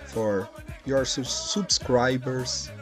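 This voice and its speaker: A man in his forties from Brazil with a Brazilian Portuguese accent, hosting a casual vlog in halting non-native English full of fillers, recorded on a headset in a small home studio. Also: mid-range voice, steady tone, over music. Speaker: channel host. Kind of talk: casual vlog address to viewers.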